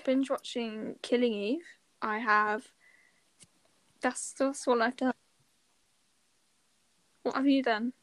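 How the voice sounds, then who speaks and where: A teenage girl joking with friends on a podcast call, teasing and deadpan. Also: a little high, slow pace, long pauses, dry recording. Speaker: podcast co-host. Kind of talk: casual chat among friends.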